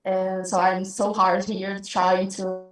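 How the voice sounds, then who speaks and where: A woman fan from Brazil with a Brazilian Portuguese accent, asking a question in nervous, halting English on a video call. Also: medium pitch, completely flat delivery, very echoey, distant mic. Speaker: fan caller.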